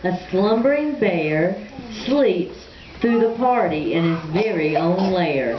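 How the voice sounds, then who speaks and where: A woman reading a children's puppet show story in a theatrical, deliberate manner. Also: fairly low pitch, lively intonation. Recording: echoey, muffled, crowd noise behind.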